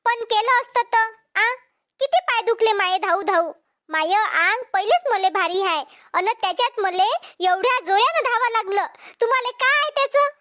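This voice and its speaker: A woman's voice: extremely high pitch, moderate pace, fairly bright tone. A woman voicing a flustered, scolding village farm wife in a cartoon comedy, in Vidarbha Marathi dialect with a childlike voice. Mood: scolding, indignant. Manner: dramatic, complaining, repeats herself.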